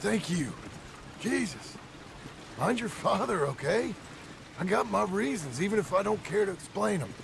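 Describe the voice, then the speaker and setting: A gravelly American frontier father, stern and weary. He talks curtly, a little high for a man, over clear outdoor wagon noise. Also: very animated, normal speed, pauses often, heavily acted. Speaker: game character, father. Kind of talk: naturalistic video game acting.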